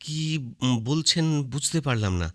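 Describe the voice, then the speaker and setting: A brisk village doctor. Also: male voice, a little high, lively intonation, pushed and bright. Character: calm male village doctor, middle-aged. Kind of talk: radio drama acting. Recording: radio play with music behind.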